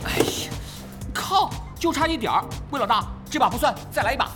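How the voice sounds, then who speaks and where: A woman playing a cocky, chatty teenage boy gamer in an audio drama, boisterous and quick to whine in protest. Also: high voice, expressive. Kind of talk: radio drama acting. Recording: loud music behind.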